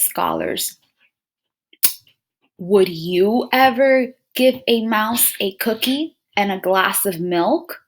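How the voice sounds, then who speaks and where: A woman teacher reading a picture book aloud to young children, expressive and often pausing to put questions to her listeners. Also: mid-range voice. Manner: expressive, addresses listeners as friends and scholars, checking in with questions.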